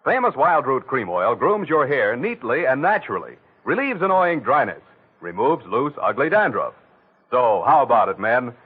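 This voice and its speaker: A 1940s radio announcer with a booming sales-pitch delivery and a husky edge to his voice. His pitch rolls up and down with flair, and he sounds thin and phone-like, with faint music underneath. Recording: tinny old radio broadcast.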